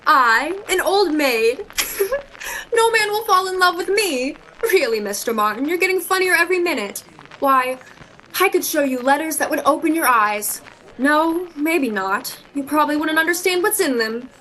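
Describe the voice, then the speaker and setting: A haughty young shop woman in an old-time radio romantic comedy acted by a woman. Her voice sits high and swings widely in pitch as she delivers cutting, scornful remarks with theatrical polish. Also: expressive, moderate pace, sharp tone, a little hiss or hum, poor audio. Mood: indignant. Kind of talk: vintage radio play acting.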